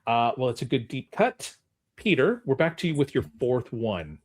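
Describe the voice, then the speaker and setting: A man's voice: mid-range voice, very animated, moderate pace. A man hosting a game on a podcast in casual, conversational talk. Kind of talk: casual chat.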